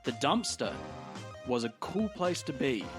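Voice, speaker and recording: A man playing a tabletop game, casually improvising descriptions of his character with halting, drawn-out phrasing. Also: mid-range voice, very animated. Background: music.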